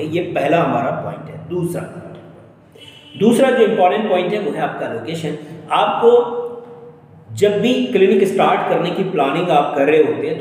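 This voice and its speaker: A man's voice: a little high, darkish tone. Indian man in a very echoey room. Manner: instructional, point by point. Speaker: host of an educational career channel. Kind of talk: explainer video voice-over addressed to viewers. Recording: very echoey room with long reverb.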